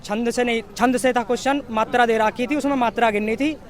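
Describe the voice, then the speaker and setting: A young man with a western Uttar Pradesh accent giving an informal, halting street interview as an exam candidate, repeating and rephrasing as he recalls. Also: very high-pitched, fairly even intonation. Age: young adult.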